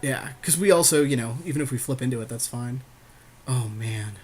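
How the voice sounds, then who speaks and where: A man's voice: mid-range voice, brisk, slight background noise. Joking man chatting through gameplay. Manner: joking, slangy, swears casually. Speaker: podcast co-host commenting on a card game match. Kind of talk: casual gameplay commentary with a friend.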